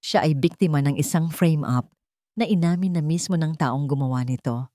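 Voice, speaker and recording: A woman voicing a cold, calculating businessman and father in a narrated drama, deliberate, commanding and accusing. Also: sits low, warm tone, no room sound, clean studio sound, close to an everyday voice. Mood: cold accusation.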